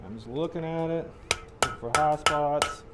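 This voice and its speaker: A man teaches forging in a hoarse voice that is half gone. His talk is folksy and patient as he works. Loud ringing hammer blows clang behind him. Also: a little high, moderate pace, heavy background noise. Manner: folksy, explains step by step while hammering. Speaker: blacksmithing demonstrator. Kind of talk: live teaching demonstration. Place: blacksmith forge demonstration.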